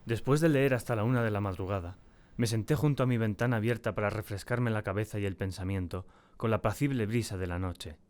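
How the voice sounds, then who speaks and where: A man's voice: fairly low pitch, darkish tone, close to an everyday voice. Solemn, tormented male diarist voiced by an actor. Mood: troubled, reflective. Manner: solemn, deliberate, theatrical. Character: tormented, terrified man confiding in his diary. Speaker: audiobook narrator and actor. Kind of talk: dramatic first-person audiobook narration.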